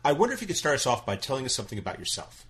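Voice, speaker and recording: A man hosting an interview podcast, asking measured, polite questions with occasional hesitations. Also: mid-range voice, wildly swooping pitch, fairly bright tone. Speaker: podcast host.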